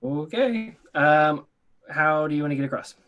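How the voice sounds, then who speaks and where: A man's voice: fairly high pitch, expressive, moderate pace, lo-fi sound. A man running a tabletop game as dungeon master, narrating with playful laughs.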